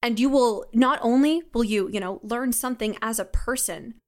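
Young woman with a highish voice. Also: normal speed. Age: young adult. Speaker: audio drama writer and creator. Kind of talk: conversational interview.

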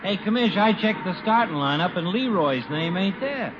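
A man's voice: high voice, expressive, normal speed. An actor playing a brash, complaining regular guy with slangy, blunt speech in a radio comedy. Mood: nagging. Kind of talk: radio sitcom acting. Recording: muffled, noisy old radio recording.

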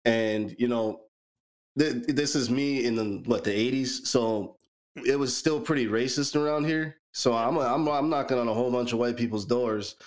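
A man in his forties co-hosting a podcast, telling personal stories in a relaxed, rambling way with frequent repeated words. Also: mid-range voice, normal speed. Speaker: podcast co-host. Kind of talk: casual chat, personal storytelling.

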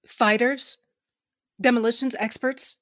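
A woman's voice: fairly high pitch, fairly even intonation, slow, a bit bright, no echo, studio quality. A woman playing a shrewd, guarded smuggler captain in an audio drama, speaking in a blunt, commanding way. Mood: demanding, guarded. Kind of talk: audio drama acting and first-person narration.

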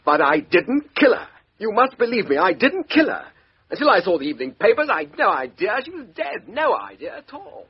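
An actor playing a blustering, indignant English army major under questioning in a 1950s radio drama. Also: male voice, high-pitched, very animated, normal speed, slightly rough, full character voice. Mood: desperate. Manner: blustering, indignant, rising to panic. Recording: dull lo-fi old radio recording.

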